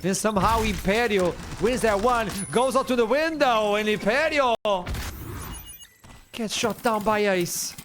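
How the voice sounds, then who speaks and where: A man from Portugal doing excitable play-by-play commentary on an esports match. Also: high-pitched, unhurried, slight background noise. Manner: excitable, builds to shouts at big plays. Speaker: esports caster.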